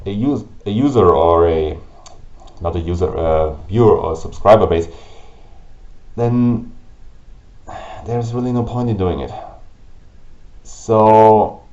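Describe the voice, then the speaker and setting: A man with a German accent, whose first language is German, hosting a gaming channel and ranting slowly and haltingly about it to camera. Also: lowish voice, a little mellow. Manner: halting, with restarts and pauses. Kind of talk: frustrated rant to camera. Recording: roomy, dull lo-fi audio.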